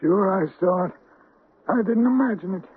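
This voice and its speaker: An actor playing a shaken, halting cemetery groundskeeper in a radio drama. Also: male voice, high voice, husky. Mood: insistent, baffled. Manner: halting, hesitant. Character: shaken male cemetery groundskeeper reporting strange sights. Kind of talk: 1970s radio drama acting. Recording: muffled phone-like old radio recording.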